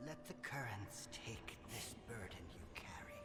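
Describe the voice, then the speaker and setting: An actor playing a mysterious, calm old temple spirit guide who speaks cryptically in a fantasy game cinematic. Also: male voice, medium pitch, in a whisper. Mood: hushed, coaxing. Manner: slow, cryptic, deliberate. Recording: music behind the voice.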